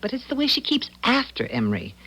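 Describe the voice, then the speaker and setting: An actress playing a fretful, plain-spoken small-town doctor's wife in a 1970s radio drama, confiding and folksy. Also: female voice, on the high side, wildly swooping pitch, quick pace, sharp tone, slightly rough, heavily acted, rough recording. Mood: worried, confiding. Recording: 1970s radio broadcast recording.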